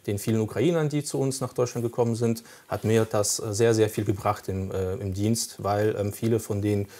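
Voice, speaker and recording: A man's voice: lowish voice, steady tone, brisk, dark tone. A man whose first language is Russian, answering interview questions as a police recruitment officer, explaining step by step with frequent hesitations.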